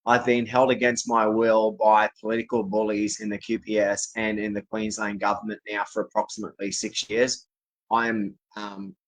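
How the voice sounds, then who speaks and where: A man's voice: fairly low pitch, rough recording. A man from Australia giving a rambling, insistent personal monologue to viewers, often repeating his declarations. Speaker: vlogger speaking to camera.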